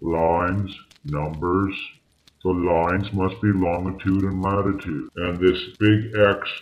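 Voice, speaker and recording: A man playing a grave, exasperated, sceptical doctor with a formal, deliberate manner in an old-time radio drama. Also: low-pitched, fairly even intonation, highs cut off.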